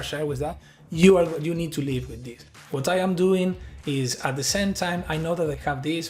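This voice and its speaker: Middle-aged Argentine man, Spanish-accented English, earnest and halting conference speaker, slightly high voice, faint music underneath. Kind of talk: presentation talk.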